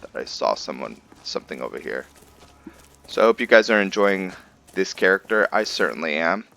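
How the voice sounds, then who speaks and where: A man's voice: sits low, very animated, normal speed, vocal fry, a bit bright. A man hosting a video game roleplay, giving casual, informal commentary and explaining things as he plays.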